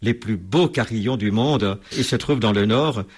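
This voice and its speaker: A man's voice: lowish voice, moderate pace, husky. A man being interviewed as a bell expert, explaining at length with many hesitations and restarts.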